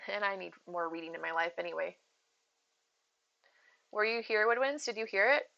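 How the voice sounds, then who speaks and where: A woman's voice: mid-range voice, expressive, moderate pace, vocal fry, lo-fi sound. A woman streamer chatting casually with her viewers, rambling and giggling as she goes, with a soothing voice. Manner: chatty, rambling, giggly, addresses chat by name. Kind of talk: casual live-stream chat.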